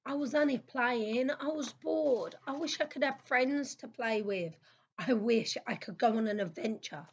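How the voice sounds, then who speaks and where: A woman's voice: fairly high pitch, measured pace, muffled recording, a little hiss or hum. A woman playing a small, mischievous, easily bored boy in a children's audio play, with a childlike, petulant delivery. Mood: bored, wistful. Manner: petulant, exclaiming.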